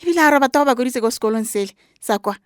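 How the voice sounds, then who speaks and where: A woman's voice: on the high side, expressive, very fast, dry recording, studio quality, close to an everyday voice. A woman with a Botswana accent acting a meddling, protective grandmother who scolds her grown daughter in a radio drama. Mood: scolding, hurt. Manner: scolding, disapproving.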